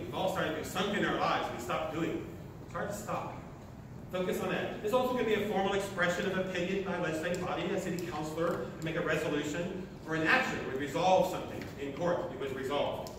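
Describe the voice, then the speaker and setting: A sixty-year-old American man from Oklahoma giving a motivational talk to an audience in short, emphatic phrases, recorded from far off in a large lecture hall. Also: high-pitched. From Oklahoma, United States. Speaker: speaker at a sustainability meeting. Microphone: distant camera at the back of the room. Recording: echoey hall from far off, noisy.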